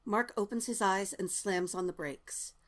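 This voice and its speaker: A woman's voice: medium pitch, unhurried. A woman narrating stage directions from a script at a table read.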